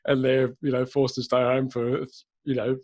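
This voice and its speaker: A man's voice: medium pitch, little pitch movement, normal speed, darkish tone. A man hosting a podcast panel, asking curious, rambling questions full of fillers. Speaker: podcast host. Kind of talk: casual panel discussion.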